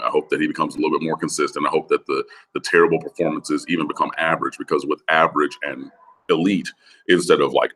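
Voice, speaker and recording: A man with a gravelly, hoarse voice, talking football casually over the phone with lots of fillers. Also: extremely low pitch, fairly bright tone. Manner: rambling with many fillers. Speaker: guest analyst. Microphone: phone line.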